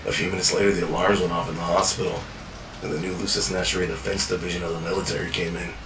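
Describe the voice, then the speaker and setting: A man with a very deep voice tells his story in a tired, world-worn way, swearing as he goes. He sits back from the mic in an echoey room. Steady ambient noise runs underneath. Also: quick pace, far from the mic. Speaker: narrator. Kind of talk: first-person fiction journal narration.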